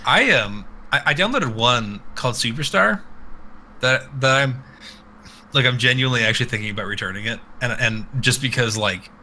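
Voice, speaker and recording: A man with a strikingly bright, forceful, mid-pitched voice co-hosts a casual comedic gaming podcast. He rambles crudely and plays up his reactions. Light noise sits behind the live recording. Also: lively intonation, normal speed, rough recording. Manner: crude, swearing, rambling with exaggerated quoted reactions. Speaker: podcast co-host. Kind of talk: casual comedic chat about video games.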